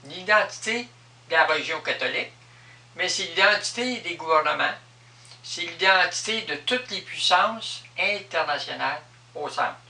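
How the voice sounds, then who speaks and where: An echoey recording of a man speaking a little away from the microphone. His voice is very bright and sharp, with a Quebec French accent. He explains things off the cuff, emphatically and with a lot of repetition. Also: on the high side, expressive, moderate pace. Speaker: vlogger explaining personal spiritual beliefs. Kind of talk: improvised explanatory monologue. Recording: echoey room, distant microphone.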